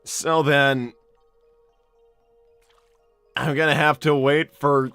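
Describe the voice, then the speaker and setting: A man's voice: a little high, flat delivery, very slow, fairly bright tone, clear, resonant tone, no room sound, faint music behind, full character voice. A man voicing an anxious, exasperated young cartoon hedgehog hero in an improvised livestream, stammering and repeating himself as he talks. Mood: anxious, disbelieving. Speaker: live improv role-play host. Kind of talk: improvised in-character livestream, reading viewer messages.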